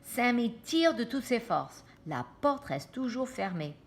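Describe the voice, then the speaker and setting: A woman reads a picture story to children at an unhurried pace. Her intonation is lively and dramatic, and she pauses for simple explanatory asides to her young listeners. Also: a little high. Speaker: teacher reading aloud to children.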